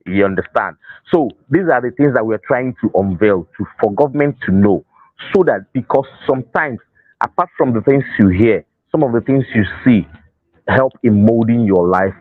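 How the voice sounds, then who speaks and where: A man's voice: medium pitch, expressive, moderate pace, no echo, narrow, tinny audio. A man with a Nigerian accent explaining things as an interview guest, unscripted, often repeating and rephrasing his phrases. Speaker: interview guest, festival organiser.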